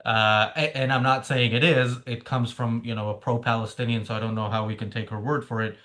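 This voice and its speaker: A man's voice: medium pitch, steady tone, brisk, fairly bright tone. A male podcast host opening an episode of political commentary. His voice sits in a middling register, and his delivery is dry and sarcastic, broken up by frequent filler sounds.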